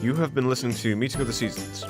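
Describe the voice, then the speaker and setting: A man delivers a scripted credits announcement. His voice sits at an average pitch, with prominent music playing behind it. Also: very fast.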